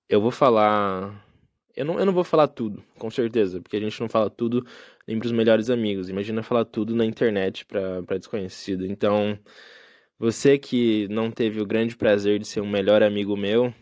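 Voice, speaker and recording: A man's voice: lowish voice, no room sound, clean studio sound. A young man hosting a solo podcast, talking in a casual, reflective and rambling way with many fillers. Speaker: podcast host. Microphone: phone.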